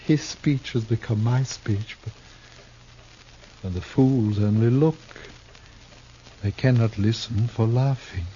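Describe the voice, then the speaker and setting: Man acting a slow, florid, desperate theatrical fugitive. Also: medium pitch, warm tone, lightly acted. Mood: bitter self-pity. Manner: theatrical, florid, archaic speech. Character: desperate, bitter, misshapen Shakespearean actor turned fugitive gunman. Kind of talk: 1950s American radio drama acting. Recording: dull, noisy 1950s radio recording.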